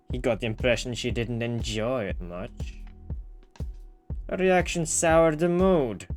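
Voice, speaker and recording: A man voicing a controlling, coldly polite male boss from a visual novel, speaking formally and deliberately. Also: mid-range voice, darkish tone, lightly acted.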